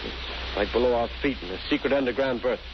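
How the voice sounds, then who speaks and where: A man's voice: medium pitch, a little fast, lo-fi sound, close to an everyday voice. An actor playing a wary, grumbling working-class shipyard henchman, plain-spoken and colloquial, in 1940s radio style. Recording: hissy old radio recording.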